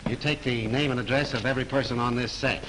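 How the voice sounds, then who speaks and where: A man's voice: medium pitch, steady tone, fast talker, fairly bright tone, slight background noise, natural voice, low-quality recording. An actor playing a brusque, sarcastic male police lieutenant who questions people bluntly, in 1930s film style. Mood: gruff. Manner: blunt, needling interrogation.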